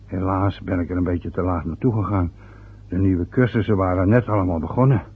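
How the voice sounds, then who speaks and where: An actor playing a fretful, rambling father turning sixty in an old radio play, with a husky voice. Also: low voice, a little fast, full character voice. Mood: musing. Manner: rambling, loses his thread. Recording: phone-like lo-fi old radio recording.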